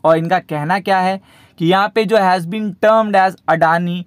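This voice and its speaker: A man's voice: high voice, steady tone, slow pace, smooth, no echo, studio quality. A man with an Indian accent giving explanatory market commentary as a video host, repeating himself and mixing in English terms.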